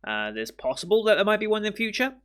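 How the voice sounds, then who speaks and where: A man with a worn, hoarse voice talks loosely to his viewers, rambling as he thinks aloud. His tone stays bright and forceful despite the strain. He speaks into a mic in his room at home. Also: high-pitched, very animated, fast talker. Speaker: anime reaction channel host. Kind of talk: casual to-camera commentary. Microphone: studio mic in frame.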